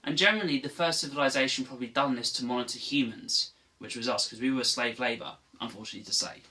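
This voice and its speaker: A man hosting his own gaming channel walks through a game's story and features in a loose, rambling way. His voice is bright and forward, and he catches and corrects himself mid-sentence. He sounds a little distant from the microphone. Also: mid-range voice, fast talker. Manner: rambling, self-correcting, offhand. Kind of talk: casual game lore explainer.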